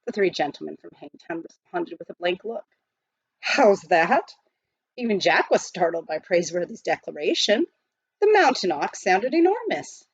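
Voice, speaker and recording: A woman narrating a children's novel aloud in an expressive, scripted storytelling style. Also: fairly low pitch, normal speed, husky, no room sound, highs cut off. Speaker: audiobook reader.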